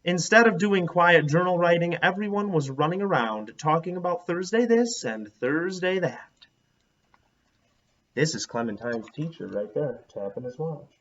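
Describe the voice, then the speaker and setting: Animated man reading aloud, dull lo-fi sound. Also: a little high, measured pace. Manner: expressive, stresses and draws out words. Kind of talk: animated children's read-aloud narration.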